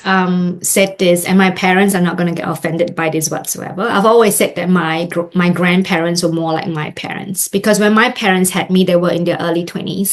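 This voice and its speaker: A woman from Singapore, a marketing coach, telling her life story as a podcast guest in a reflective way with many pauses and fillers. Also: mid-range voice. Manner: reflective, many "um" and "you know". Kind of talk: interview, personal storytelling.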